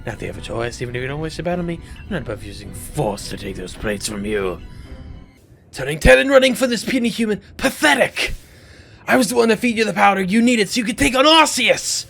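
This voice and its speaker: A man's voice: high voice, big pitch swings, a little fast, creaky, fairly bright tone, soft background music, heavily acted. A man voicing a megalomaniac, scholarly villain from a video game, theatrical, grandiose and intense, obsessed with remaking the world. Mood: menacing. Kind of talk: video game character monologue.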